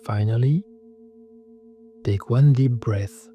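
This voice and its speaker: A man narrating a guided meditation in a soothing, measured way, speaking calm scripted instructions in short phrases. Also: mid-range voice, muffled recording. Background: singing bowl tones.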